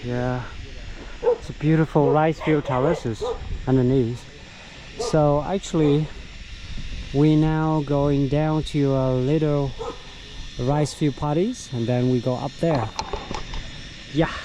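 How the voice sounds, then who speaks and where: Slow-talking man guiding an outdoor walk. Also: a little high, fairly even intonation, noisy background. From Vietnam. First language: Vietnamese. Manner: unhurried, halting, with frequent fillers. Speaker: local tour guide. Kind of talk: casual walking vlog narration. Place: outdoors on countryside trails.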